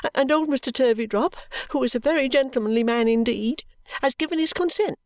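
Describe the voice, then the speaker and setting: A tearful young woman begs her mother, her voice pitched high and breaking with sobs, as a woman reading a classic novel puts her on. The sound is dry and close, with no room around it. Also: a little slow, darkish tone, no echo. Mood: tearful pleading. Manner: pleading, breathless, high and tearful. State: sobbing.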